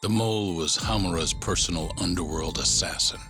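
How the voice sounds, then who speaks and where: A grave male narrator with a low voice recaps a crime drama for a game. The delivery is scripted, solemn and deliberate, over loud music. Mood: grave, ominous.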